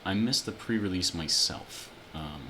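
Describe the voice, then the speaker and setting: A man with a very low voice chatting casually as he builds a card deck on a late-night stream, thinking aloud with fillers, picked up by a sensitive desk mic. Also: measured pace, noisy background. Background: background music.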